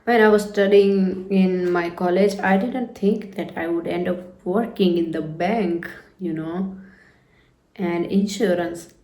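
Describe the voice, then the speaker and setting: Woman, 30s, from India, non-native English, low pitch, slow reflective storytelling. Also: fairly even intonation, mellow, echoey room, rough recording. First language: not English. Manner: unhurried, reflective, with frequent "you know" fillers. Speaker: vlogger.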